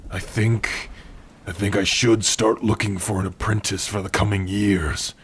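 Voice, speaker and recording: A man performs a gentle male orc blacksmith with a low, husky voice. He is tender and protective, talking softly to his partner in an intimate ASMR roleplay. His delivery is very unhurried, with rain behind him. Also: flat delivery. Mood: reflective. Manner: unhurried, tender, with soft sighs and hums. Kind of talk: ASMR roleplay addressed to the listener.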